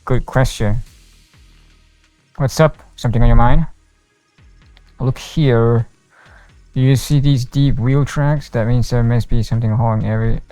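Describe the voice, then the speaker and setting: Clear-voiced man streaming a game, dry close sound. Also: medium pitch, steady tone, measured pace, long pauses, dark tone, no room sound. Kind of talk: live playthrough, reading dialogue aloud with reactions. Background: game music, faint.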